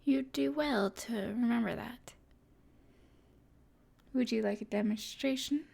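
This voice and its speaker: A woman playing a smug, teasing evil sorceress in an intimate ASMR roleplay, with a breathy edge and a haughty, mysterious manner. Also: on the high side, takes it slowly, a little mellow, full character voice. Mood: commanding.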